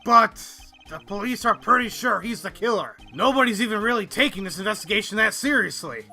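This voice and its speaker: A man voicing a gruff, blustering but loyal police detective in an amateur video game dub, brash and folksy. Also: high voice, animated, brisk, pushed and bright, faint music behind, full character voice. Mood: earnest, loyal. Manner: brash, folksy, calls people pal.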